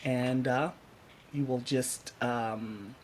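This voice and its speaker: A man hosting a podcast, talking casually and off the cuff in a halting, thinking-aloud way. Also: mid-range voice, fairly even intonation. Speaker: podcast host and improvising musician. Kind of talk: casual unscripted introduction. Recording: clearly noisy room sound.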